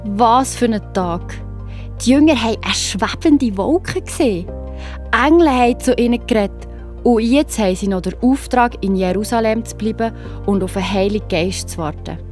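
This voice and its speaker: A woman's voice: fairly high pitch, normal speed, darkish tone, faint music behind. A woman with a Swiss accent narrating a children's story, speaking directly to the young listener with wonder.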